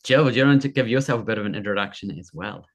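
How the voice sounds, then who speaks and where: An upbeat man hosting a live workshop over a video call, his voice sitting a little low. He keeps the energy bright, greeting people and repeating friendly prompts as they join. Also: rapid-fire. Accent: non-American. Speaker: workshop host. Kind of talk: enthusiastic live webinar hosting.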